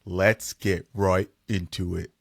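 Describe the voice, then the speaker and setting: A man's voice: sits low, little pitch movement, slow, gravelly, dry recording, studio quality. A man hosting a casual sports podcast, talking in a laid-back, slangy way. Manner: laid-back, slangy, says "bro" and "dude" a lot. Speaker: podcast host.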